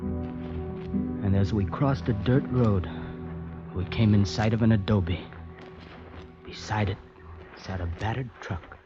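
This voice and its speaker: A man's voice: on the low side, big pitch swings. An actor playing a desperate poet searching for his wife, who also narrates in a 1950s radio drama. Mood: narrating. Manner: pleading and frantic in scenes, measured when narrating. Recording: dull old radio recording with music under narration.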